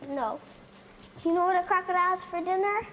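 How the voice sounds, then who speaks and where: A woman's voice: extremely high pitch, fast talker. A woman playing a curious elephant calf. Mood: timid. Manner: eager, endlessly questioning. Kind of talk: stage play acting. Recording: reverberant hall, faint noise.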